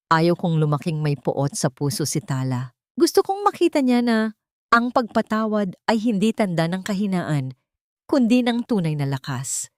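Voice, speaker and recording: Gentle young mother for storybook drama, played by a female narrator. Low, earnest, soft-spoken, dry sound. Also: no room sound. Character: gentle, forgiving, long-suffering young mother.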